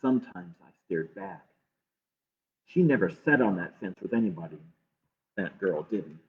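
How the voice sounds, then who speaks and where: A man reading a picture book aloud to children with measured, expressive pauses. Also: sits low, creaky. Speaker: library storytime reader. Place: livestream from a closed-library online program. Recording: muffled phone-like streaming audio.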